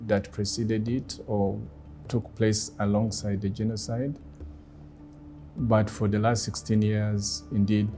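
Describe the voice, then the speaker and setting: Rwandan guy, kinyarwanda native, soft dark tone, talks slow and thoughtful w pauses, faint music behind. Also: on the low side. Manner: deliberate, measured, with pauses. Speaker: guest speaker, former ambassador. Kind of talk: reflective personal talk.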